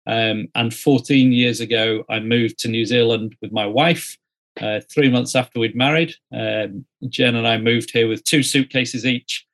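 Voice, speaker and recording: A man's voice: mid-range voice, steady tone, a little slow, a bit bright. Middle-aged man. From Yorkshire, northern England. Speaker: interview guest, student ministry director. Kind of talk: conversational personal storytelling.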